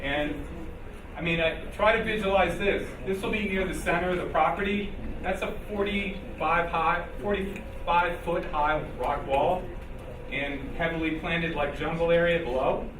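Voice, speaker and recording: A man presenting a development project at a civic meeting, informal and halting with restarts. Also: fairly high pitch, steady tone. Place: meeting hall. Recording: echoey hall, far from mic, noisy.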